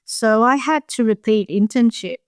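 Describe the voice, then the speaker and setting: A middle-aged woman, a professor being interviewed on a podcast, talking in a relaxed, reflective way. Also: a little high, normal speed, clear, resonant tone, no room sound, clean studio sound. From Australia. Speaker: professor of anaesthesiology, guest.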